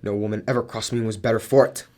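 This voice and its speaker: A man's voice: lowish voice, steady tone, rapid-fire, warm tone, natural voice. A man acting a proud, overbearing wealthy man in a radio drama, formal and domineering in manner. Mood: indignant, threatening.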